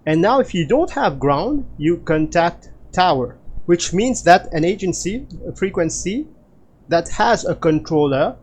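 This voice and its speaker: Male tutorial instructor, slightly higher-pitched voice, very measured pace, hesitant with many filled pauses. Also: darkish tone. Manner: hesitant, halting delivery with frequent filler pauses. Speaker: flight simulator instructor. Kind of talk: explanatory tutorial narration.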